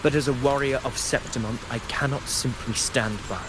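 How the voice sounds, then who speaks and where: Guy doing a loyal soldier in a game, normal pitch, respectful n solemn, rainy noise behind. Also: steady tone, normal speed, natural voice. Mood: earnest, solemn. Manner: formal, restrained. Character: earnest loyal male warrior, respectful and dutiful. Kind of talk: video game voice acting.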